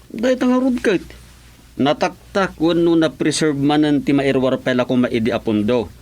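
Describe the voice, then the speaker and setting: A man's voice: a little high, moderate pace, a little mellow, natural voice. A male actor with an Ilocano Filipino accent playing a hesitant, stammering gossip in a comic radio drama. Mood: hesitant, gossipy. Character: hesitant gossiping gentleman, Mister Kaasay, bringing foundation news.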